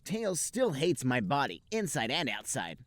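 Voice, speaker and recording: A man's voice: medium pitch, huge pitch swings, measured pace, heavy background noise, voice pushed up. A man voicing a blunt, dim teenage cartoon hedgehog boy with stilted, exaggerated delivery in a comic fan fiction reading. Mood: mopey.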